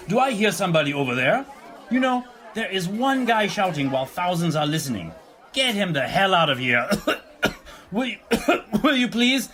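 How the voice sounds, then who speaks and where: Man acting a coughing, high-pitched pompous male president. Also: big pitch swings, slow pace, fairly bright tone, some chatter behind, full character voice. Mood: indignant. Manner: stammering, repeats himself, constantly coughing. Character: pompous, dismissive, coughing president rallying a crowd. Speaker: actor in an audio drama. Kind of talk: political rally speech.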